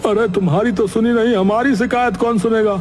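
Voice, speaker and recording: A man's voice: high-pitched, fairly even intonation, a little fast, natural voice. A man voicing a whiny, panicky cartoon villager who pleads and exclaims. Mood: panicked pleading. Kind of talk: Hindi cartoon voice acting.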